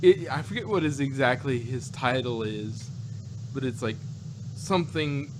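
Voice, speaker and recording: A man co-hosting a casual review podcast, talking in a halting, rambling way as he searches for words. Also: mid-range voice. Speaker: podcast co-host. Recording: noisy recording with background hum.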